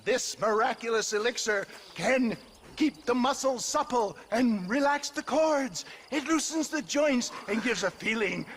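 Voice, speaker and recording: A man's voice: extremely high pitch, unhurried, fairly bright tone, a little hiss or hum. A man playing a flamboyant, silver-tongued snake oil salesman in a western game, with florid, grandiloquent delivery. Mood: grandiose showmanship.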